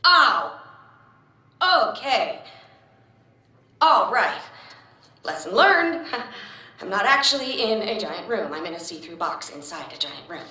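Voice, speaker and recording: Echoey, animated American woman chatty prisoner. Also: a little high, exaggerated voice. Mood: nervous, joking. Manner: rambling, motor-mouthed, jokey. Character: chatty, nervy, wisecracking female prisoner test subject. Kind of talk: naturalistic audio drama acting. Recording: very echoey, boxed-in room sound.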